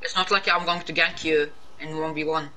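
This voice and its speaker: A man's voice: a little high, little pitch movement, a little fast, over a noisy crowd, rough recording. A man from Sweden, arguing and trading insults in an online game voice chat. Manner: insulting, taunting. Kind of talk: heated voice chat argument.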